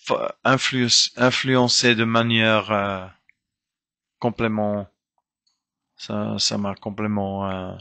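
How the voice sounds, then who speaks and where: Slow, bright-voiced man. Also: fairly low pitch, flat delivery, creaky, smooth. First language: German. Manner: hesitant, searching for words with many restarts. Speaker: guest musician. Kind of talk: casual interview talk.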